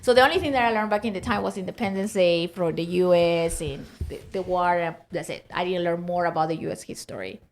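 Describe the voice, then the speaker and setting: A woman's voice: lowish voice, fast talker, hardly any pauses. A woman from Peru speaking English with a Peruvian Spanish accent, telling her story animatedly in a casual interview and laughing often. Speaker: guest.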